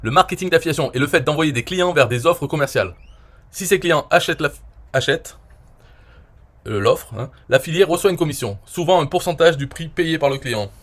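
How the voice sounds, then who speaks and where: A man from France in his forties talking casually and at length, rambling with many fillers and often trailing off quietly. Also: a little high, animated, brisk. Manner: rambling, frequent "euh" and "voilà", trailing off quietly. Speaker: online marketer reviewing his own interview. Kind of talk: casual explanatory monologue.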